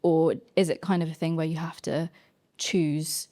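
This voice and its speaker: A woman hosts a live podcast panel in an informal, conversational style. Her voice is slightly low and very mellow. It is recorded dry, with hardly any room sound. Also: little pitch movement, normal speed, no room sound. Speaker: panel host.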